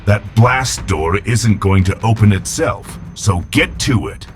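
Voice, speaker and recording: A man's voice: on the low side, a little slow, over music, lightly acted. A man playing a hard, impatient pirate starship captain in an audio drama, curt, snarling and domineering.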